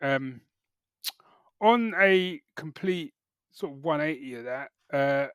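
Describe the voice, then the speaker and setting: A middle-aged British man chatting casually as a podcast co-host, halting and correcting himself as he goes. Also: fairly high pitch, expressive, a bit bright, clean tone, dry recording, highs cut off. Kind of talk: casual chat, geek reviews.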